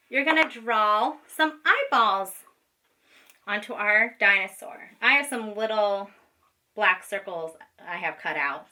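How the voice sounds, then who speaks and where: A woman's voice: fairly high pitch, bright, forceful tone. A woman teacher giving step-by-step craft instructions to children in an informal, patient way, often checking in as she goes. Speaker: special education teacher. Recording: distant microphone.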